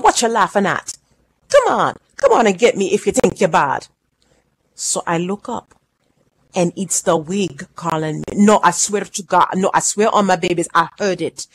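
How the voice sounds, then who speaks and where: A woman performing a monologue as a brash woman in rehab, telling her counselor a wild story with animated, loud outbursts. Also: fairly low pitch, husky, rough recording.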